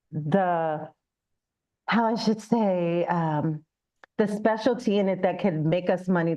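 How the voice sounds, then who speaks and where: A woman's voice: fairly low pitch, mellow. Woman coaching business owners, slightly muffled audio. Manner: conversational, illustrates points with acted-out examples and rhetorical questions. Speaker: guest speaker, small business coach. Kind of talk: informal business presentation.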